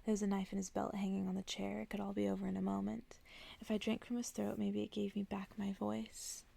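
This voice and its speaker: Creaky-voiced woman reading softly, ASMR style. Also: medium pitch, flat delivery, a little fast. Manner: soft-spoken, often dropping into whisper. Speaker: ASMR host reading a story aloud. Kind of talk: ASMR bedtime story reading.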